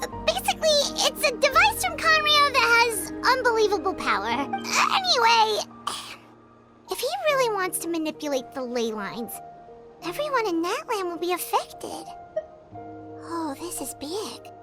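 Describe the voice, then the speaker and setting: A tiny childlike fairy for a fantasy game, squeaky and extremely high. She chatters excitably with big swoops in pitch over loud music. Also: a little slow, exaggerated voice. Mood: excited, worried. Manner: chatty, refers to self by name. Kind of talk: video game voice acting.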